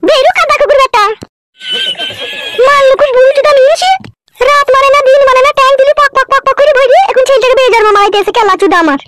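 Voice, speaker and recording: Very shrill, combative village wife played by a woman. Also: quick pace, a bit bright. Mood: outraged. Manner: scolding, foul-mouthed. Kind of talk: comedy cartoon dub.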